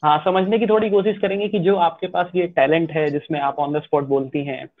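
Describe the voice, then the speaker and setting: A male host asks friendly, chatty questions at a medium pitch. The sound is very dry and somewhat dull, with no room echo. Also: steady tone, fairly quick. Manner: friendly, chatty, mixes in English phrases. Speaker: interview host. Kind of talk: interview questions.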